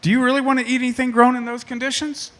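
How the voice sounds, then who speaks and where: A man's voice: extremely high pitch, flat delivery, racing, a bit bright. A man in his sixties moderating a panel, talking in an emphatic way and repeating himself when he gets fired up. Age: 60s. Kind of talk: passionate panel talk.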